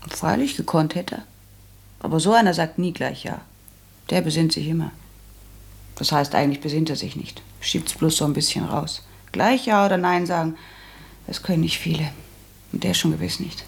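Low, creaky, self-assured young woman. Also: normal speed, pauses often, exaggerated voice. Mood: certain, scornful. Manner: blunt, knowing, colloquial. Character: shrewd, self-assured, blunt young Berlin daughter. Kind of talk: German radio play acting.

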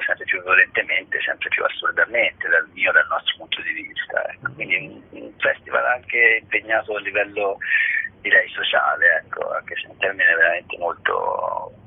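A man, giving an unscripted interview as an artistic director, hesitant and often repeating himself as he searches for words. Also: low-pitched. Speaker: theatre festival artistic director being interviewed. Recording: tinny phone line with clear noise.

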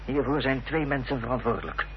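An actor playing a determined, formal gentleman in a radio drama, earnest and insistent, on a dull, noisy old recording. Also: medium pitch, expressive, brisk, close to an everyday voice. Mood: resolute, formal. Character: determined, formal gentleman confronting an enemy's accomplice.

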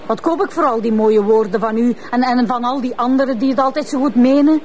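A defiant young woman, played by an actress, speaks in a radio play. She sounds cold and scornful in a higher voice, with faint noise behind. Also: a little fast, darkish tone, natural voice.

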